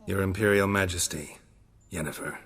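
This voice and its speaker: Defiant monster hunter, very deep, gravelly, dry, sardonic, terse, game dialogue, reverberant. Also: male voice, steady tone, normal speed, fairly bright tone, slight background noise. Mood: dry defiance. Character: gruff, weary, defiant male monster hunter. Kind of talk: video game voice acting.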